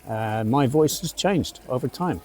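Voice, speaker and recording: A middle-aged British man from the West Midlands, now with a neutral British accent, teaching English in a relaxed, chatty way, recorded on an outdoor café terrace. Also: medium pitch, unhurried. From England, West Midlands. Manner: relaxed, chatty, with fillers and asides. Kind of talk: casual spoken lesson to camera.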